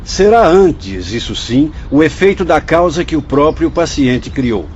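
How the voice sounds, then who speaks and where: A man's voice: on the high side, animated, darkish tone. Male, Brazilian accent: a grave, fatherly hospital guide in a radio drama, formal and instructive, muffled audio with faint noise. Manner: didactic, formal, measured. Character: solemn fatherly spirit doctor guiding visitors through a hospital.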